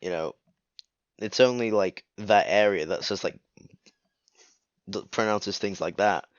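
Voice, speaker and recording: A young man from northwest England, a podcast guest chatting casually in a relaxed, rambling way, leaning on "like" a lot. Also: lowish voice, unhurried, no room sound, muffled recording. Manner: relaxed, rambling, heavy use of "like". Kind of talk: casual chat.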